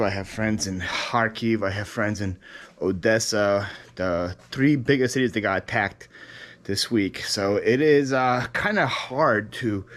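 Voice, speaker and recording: A young man with a Ukrainian accent who was born in Ukraine, talking loosely in a casual vlog with lots of ums and restarts. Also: fairly low pitch. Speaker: reseller vlogger. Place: warehouse.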